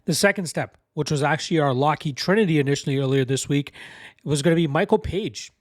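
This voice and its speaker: A man's voice: a little high, dry recording. A man giving a casual, confident breakdown of fight betting picks, talking through a stuffy nose from a cold. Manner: brisk, confident, bettor's slang. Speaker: MMA betting analyst.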